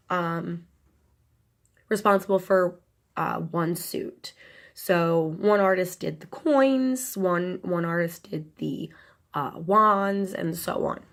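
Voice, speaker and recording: A young woman with a cold, her voice nasal and a little crackly, chatting casually and rambling as she unboxes a tarot deck for her channel. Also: lowish voice. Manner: rambling, many ums and restarts.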